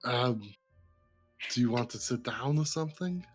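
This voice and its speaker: A player in a tabletop game who talks in a relaxed, chatty way while acting out their moves. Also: mid-range voice, a little slow, faint music behind. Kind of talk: casual tabletop play.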